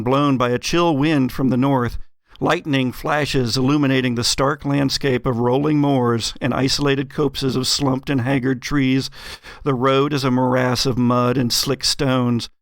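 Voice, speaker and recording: A man narrating gothic horror as game master, with measured, dramatic, atmospheric storytelling. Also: mid-range voice, dry recording, clean studio sound.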